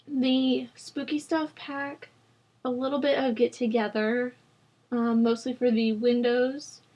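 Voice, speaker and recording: A young woman host rambling casually over a game build, her voice rough from a sore throat, with lots of fillers. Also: on the high side, a little mellow. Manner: rambling, chatty, with frequent fillers. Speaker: Sims builder channel host. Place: at home.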